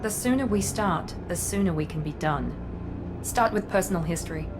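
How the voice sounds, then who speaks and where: A woman's voice: fairly low pitch, expressive, moderate pace, over loud music, lightly acted. An actress playing a self-assured, ruthless female project director in a sci-fi video game, clipped, businesslike and haughty. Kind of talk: cinematic video game acting.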